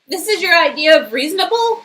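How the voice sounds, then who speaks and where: A woman's voice: very high voice, very animated, brisk, a bit bright, exaggerated voice. A woman voicing an anxious, hesitant young female ally, flustered but brave, in a dramatic game reading. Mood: alarmed.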